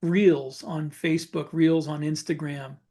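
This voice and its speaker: A man chatting casually as a podcast co-host, thinking aloud in halting phrases that he often repeats. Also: on the high side, steady tone, dark tone. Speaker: podcast co-host and fiction author.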